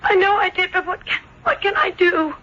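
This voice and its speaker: An actress playing a tender, torn young small-town sweetheart, with hesitant, emotional delivery in radio drama style. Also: female voice, extremely high pitch, moderate pace, pushed and bright. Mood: torn, pleading. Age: young adult. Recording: dull old radio broadcast.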